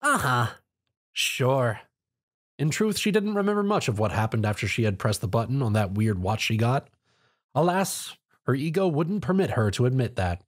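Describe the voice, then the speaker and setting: A man's voice: mid-range voice, very animated, brisk. A man narrating a scripted story in a dramatic storytelling style.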